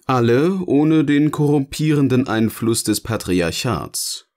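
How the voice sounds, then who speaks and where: Ein Mann spielt in einem Hörspiel einen eloquenten, sardonischen Erzähler, der bedächtig und theatralisch philosophiert. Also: male voice, medium pitch, animated, slow pace, darkish tone. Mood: sardonic. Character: eloquent, sardonic male narrator finally free to speak. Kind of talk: scripted audio drama dialogue, philosophical musing.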